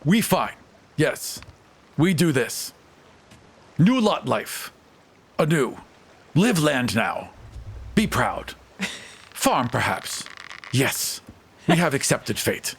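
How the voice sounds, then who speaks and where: A man's voice: medium pitch, very animated, extremely slow, pauses often, husky, exaggerated voice. A man voicing a group of loyal, simple-speaking orcs in a tabletop game. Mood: content. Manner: broken grammar, clipped. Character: simple-speaking loyal male orc crowd.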